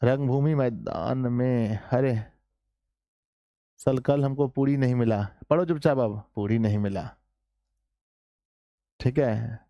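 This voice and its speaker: A man teaching an online class in a casual, joking way, slightly hoarse, often calling his students children. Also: mid-range voice, slow pace, pauses often, mellow, no room sound, dull audio. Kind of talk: casual live class lesson.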